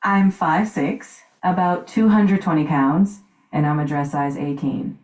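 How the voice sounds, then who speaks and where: A woman's voice: low-pitched, a little slow, a little mellow, roomy sound, poor audio. A woman voicing a confident single woman in her thirties who speaks in a self-assured, upbeat way. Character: confident single woman, 32, dating show guest.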